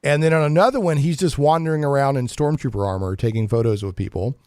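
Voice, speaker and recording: A man's voice: medium pitch, fast talker, a little mellow, dry recording. Jokey male talk host, dry sound. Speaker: radio talk show host. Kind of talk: casual geek-news chat.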